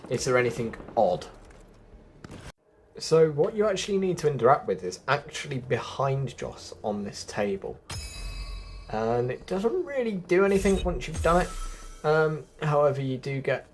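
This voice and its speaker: A man's voice: mid-range voice, big pitch swings, moderate pace. A man giving a casual, chatty gameplay walkthrough, explaining things directly to viewers as a video host.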